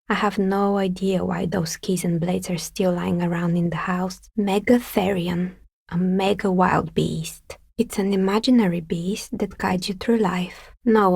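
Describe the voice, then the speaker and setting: An actress voicing a dry, sceptical woman dicemaker in a video game, with a soft, breathy, husky voice and a wry, understated delivery. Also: fairly low pitch, no room sound, exaggerated voice. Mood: dry, informative. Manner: wry, understated, matter-of-fact. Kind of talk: video game character dialogue.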